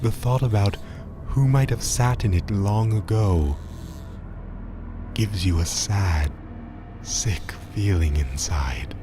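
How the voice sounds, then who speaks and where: Extremely deep-voiced man narrating horror. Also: noisy background. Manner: dramatic, ominous, measured phrasing. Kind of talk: scripted second-person horror narration, Halloween radio drama. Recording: loud scary music behind. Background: loud scary music.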